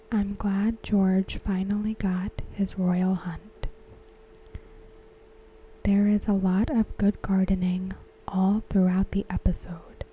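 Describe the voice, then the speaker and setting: A woman whispering softly as an ASMR host, talking through a TV episode. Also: medium pitch, slight background noise, low-quality recording. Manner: whispered, unvoiced and close. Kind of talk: soft-spoken ASMR commentary.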